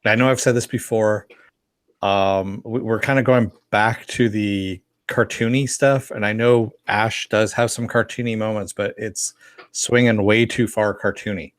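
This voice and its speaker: A man co-hosting a podcast, giving his opinions in casual review chat in a hesitant, halting way. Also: lowish voice, steady tone, no room sound, studio quality. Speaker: podcast co-host.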